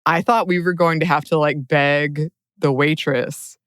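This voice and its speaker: A woman with a low, clean and resonant voice shares a story casually as a podcast co-host. Her delivery is conversational. The sound is tight and dry, free of room echo. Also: fairly even intonation, unhurried, clean tone.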